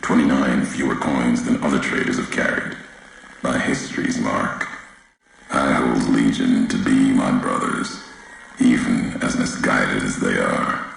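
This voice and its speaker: An actor playing a brooding, fatalistic warrior courier in a video game, speaking solemnly in clipped, cryptic phrases with a very deep gravelly voice. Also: male voice, steady tone, unhurried, a bit bright, heavy reverb, heavily acted, low-quality recording. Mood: solemn, defiant. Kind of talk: video game character dialogue, slow cryptic monologue.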